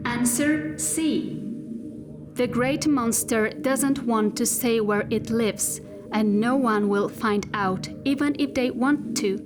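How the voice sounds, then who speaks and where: A detached female machine intelligence , played by a woman, guides its human in a science fiction audio drama. The voice is fairly high and very slow, with deliberate, instructional phrasing. Music plays clearly behind it. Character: female spacesuit AI machine, patient, detached, rambling.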